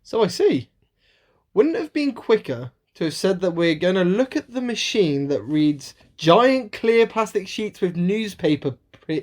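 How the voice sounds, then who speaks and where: A woman's voice: fairly low pitch, darkish tone, close to an everyday voice. A woman acting as an impatient, cheeky, slightly dim sidekick in an amateur radio play.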